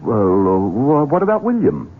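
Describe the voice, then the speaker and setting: An actor playing a young, cruel, scheming heir who speaks in a cold, menacing, insinuating way, in a radio drama. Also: male voice, mid-range voice, huge pitch swings, normal speed, breathy edge. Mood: probing. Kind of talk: 1970s radio drama acting. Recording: tinny lo-fi radio broadcast.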